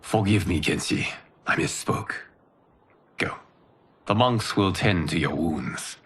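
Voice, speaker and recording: An actor playing a solemn, wise guardian mentor in a video game, speaking in a measured, formal way. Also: male voice, deep voice, lively intonation, a bit bright, exaggerated voice. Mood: calm, solemn. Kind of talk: video game cutscene acting.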